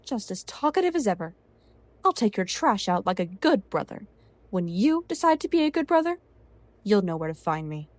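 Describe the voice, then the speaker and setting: A woman's voice: medium pitch, very animated, normal speed, dry recording, lightly acted. A woman voicing a stern, weary cartoon uncle, a blunt and dry family man, in a comic dub. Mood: exasperated.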